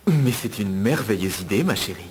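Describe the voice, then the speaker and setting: A man's voice: on the low side, expressive, normal speed, natural voice. An actor playing a benevolent king of an enchanted land in a French cartoon, formal and ceremonious. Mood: gracious, solemn.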